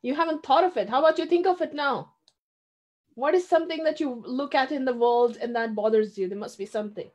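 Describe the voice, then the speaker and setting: A middle-aged woman teaching an online children's class, calling names and repeating each answer back in a brisk, encouraging way, heard through a webcam at home. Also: high voice, a little mellow. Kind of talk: leading a class roll call on a video call. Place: small room at home, brick-pattern wall. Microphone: video call, webcam.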